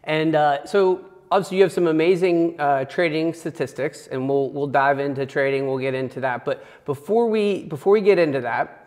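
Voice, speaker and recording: Host, man, higher voice, upbeat, lots of fillers, in a reverberant space. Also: moderate pace, hardly any pauses. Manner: upbeat, filler-heavy, trailing off quietly. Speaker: show host. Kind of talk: casual interview chat.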